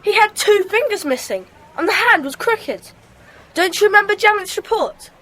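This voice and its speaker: Bright, very high-voiced keen schoolboy. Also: female voice, lively intonation, normal speed, heavily acted, poor audio. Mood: eager, observant. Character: keen, observant schoolboy in a detective gang. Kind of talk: children's audiobook dramatisation.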